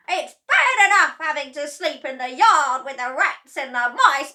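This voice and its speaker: A woman voicing a bossy, enormous old grandmother who shouts shrilly in a storybook reading. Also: recorded from a distance, full character voice. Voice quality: shrill, bellowing.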